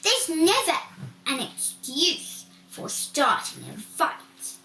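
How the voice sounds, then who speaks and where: A woman's voice: high voice, huge pitch swings, takes it slowly, gravelly, sharp tone, full character voice. A woman voicing a stern, authoritative male grizzly bear in a children's storybook, scolding and commanding. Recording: roomy, distant mic, faint noise.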